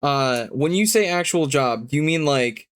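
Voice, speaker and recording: A man's voice: a little high, lively intonation, moderate pace, clean tone, no room sound, studio quality. A man running a tabletop game as game master, improvising rulings in an explanatory way with some searching for words.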